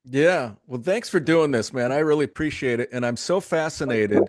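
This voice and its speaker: A man's voice: medium pitch, moderate pace, no echo, studio quality. A man hosting an online interview, enthusiastic and casual, often repeating words as he starts a sentence. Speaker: show host.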